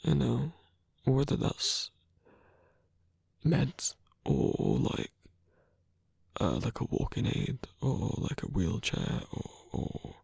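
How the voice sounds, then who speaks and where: A performer in an intimate ASMR roleplay, voicing a gentle, protective supernatural lover with a husky, breathy voice and a hesitant, earnest delivery. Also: medium pitch, no echo, clean studio sound, full character voice. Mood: reassuring, hesitant.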